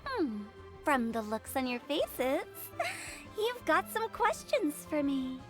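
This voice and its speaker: A woman's voice: high voice, very animated, takes it slowly, faint music behind, exaggerated voice. A woman voicing a playful, mischievous young female funeral director who teases and rhymes. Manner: teasing, rhyming, playful. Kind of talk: game voice acting.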